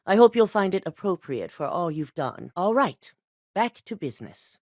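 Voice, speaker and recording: An actress voicing a composed, commanding female corporate CEO in a video game, speaking in a measured, authoritative, businesslike way. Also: lowish voice, sing-song, darkish tone, dry recording, clean studio sound. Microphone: dry studio voice recording.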